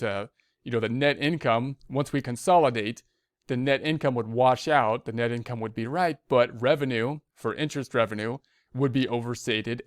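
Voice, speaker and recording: Male instructor, medium pitch, crisp and smooth, explains patiently through restatement in an informal lecture style. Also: no echo, studio quality. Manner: repeats and rephrases step by step. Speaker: accounting instructor. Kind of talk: explanatory lecture, loosely scripted.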